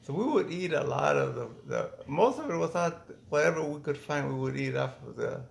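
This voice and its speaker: Very gravelly middle-aged man, halting recollections. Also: fairly high pitch, lively intonation. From Mexico. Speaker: interviewee, former migrant worker. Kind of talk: reminiscing in a casual family interview.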